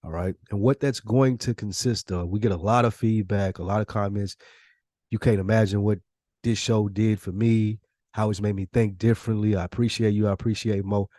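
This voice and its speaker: A man's voice: on the low side, fairly even intonation, a little fast, mellow, no echo, clean studio sound. An American man co-hosting a podcast in casual, slangy chat, with a blunt, emphatic way of talking. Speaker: podcast co-host.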